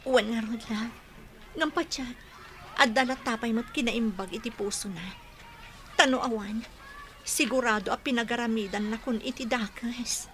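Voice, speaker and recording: An actress playing an emotional, soft-hearted young daughter in an Ilocano radio drama, earnest, with an Ilocano Filipino accent. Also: female voice, fairly high pitch, moderate pace, long pauses, slight background noise, natural voice. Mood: subdued, wistful.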